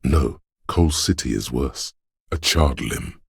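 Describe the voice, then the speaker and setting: Ominous all-knowing inner voice for a game, super gravelly, close dry sound, slow solemn poetic narration. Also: male voice, lively intonation, no echo, heavily acted. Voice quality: gravelly, husky bass. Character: brooding, omniscient inner voice narrating a city's shivers. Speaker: voice actor narrating a video game. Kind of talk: slow literary second-person narration.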